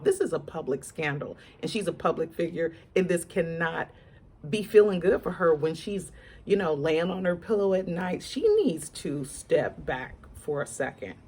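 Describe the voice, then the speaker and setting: A woman's voice: low-pitched, moderate pace, husky. A woman giving casual celebrity gossip commentary in a conversational way, repeating words for emphasis and addressing her viewers directly. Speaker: online commentator.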